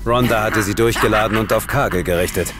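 A man's voice: fairly low pitch, a little fast, lightly acted. An actor playing a determined male investigator who narrates his own adventure in a serious, terse way. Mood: urgent narration. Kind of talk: audio drama dialogue and first-person narration. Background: loud dramatic music.

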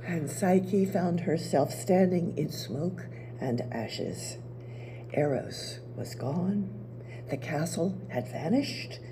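Older woman narrating in a hush. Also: on the low side, gravelly, a little hiss or hum, low-quality recording. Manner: hushed, near-whispered narration. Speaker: playwright reading her play. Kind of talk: story narration at a play reading. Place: small theater stage with audience. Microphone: table mic.

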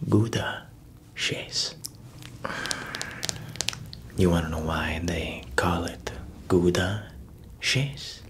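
A man in an ASMR roleplay voicing a proud male baker who whispers slowly, chuckles softly and fumbles for words as if foreign. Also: deep voice, heavily acted. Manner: whispered, slow, with soft chuckles.

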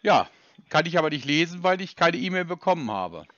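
A man's voice: on the high side, very animated, fairly quick, fairly bright tone, no echo. A man on a phone call, talking in an insistent, pedantic, complaining way. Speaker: scambaiter on a prank call. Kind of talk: phone conversation. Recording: dry, slightly dull lo-fi sound.

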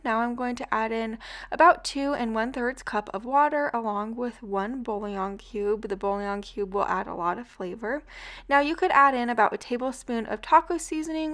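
Upbeat higher-voiced woman cooking host. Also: fairly bright tone. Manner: chatty, upbeat, walks through each step. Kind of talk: casual recipe voice-over narration.

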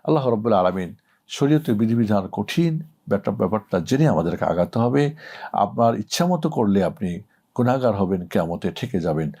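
A middle-aged man with a fairly low voice delivers religious guidance as a cleric. He explains rulings in an instructive, emphatic way, as if answering a question put to him. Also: lively intonation, fairly quick, dark tone. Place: indoor studio set.

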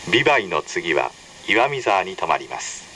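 A man reading a scripted, formal and measured station announcement as a recorded train announcer. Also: low voice, very animated, pushed and bright, rough recording. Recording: recorded over train speakers with faint noise. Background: train cabin hum.

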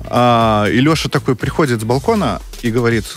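Male musician guest, thirties, medium pitch, casual storytelling on studio mic. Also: brisk, soft background music. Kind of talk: casual anecdote telling. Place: radio studio. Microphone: studio microphone.

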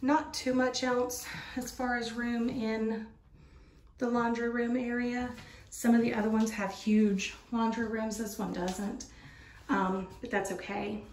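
Woman, slightly high voice, casual rambling home-tour vlogger on a handheld phone. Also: flat delivery, measured pace, a little mellow, a little hiss or hum. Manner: chatty, rambling, many fillers. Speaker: vlogger touring a mobile home. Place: inside an empty model home. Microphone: handheld phone camera.

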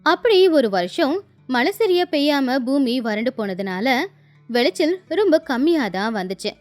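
Woman reading out a moral story, high pitched voice, scripted narration, light music under it. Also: big pitch swings, moderate pace, a little mellow.

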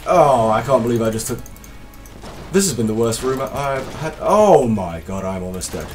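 A man with a slight cold, casually commentating his gameplay as a let's play host, excitable and self-deprecating. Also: mid-range voice, moderate pace, far from the mic, soft background music.

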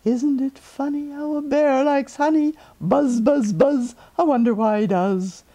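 A woman's voice: sits high, a little slow, dark tone, pitched above the performer's own voice. A woman voicing an earnest little male bear from a storybook, pondering aloud and exclaiming in a singsong way.

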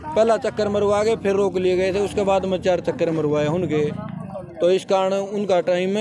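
A man's voice: high voice, steady tone, normal speed. Young Haryanvi man explaining at length. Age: young adult. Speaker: army recruitment candidate. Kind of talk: casual street interview answers. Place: outdoors in a crowd. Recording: noisy crowd outdoors.

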